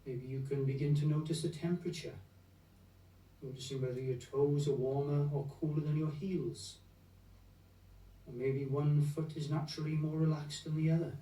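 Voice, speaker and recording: A man leading a guided meditation in a gentle, unhurried, soothing manner, leaving long pauses between phrases. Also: a little high, fairly even intonation. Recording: roomy echo, distant from the mic.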